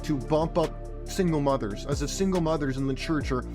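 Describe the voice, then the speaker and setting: A man hosting a podcast, his voice partly gone and hoarse, talking in a provocative, sarcastic, lecturing way. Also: on the high side, a little fast, music in the background. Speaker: podcast host. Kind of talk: opinionated podcast monologue.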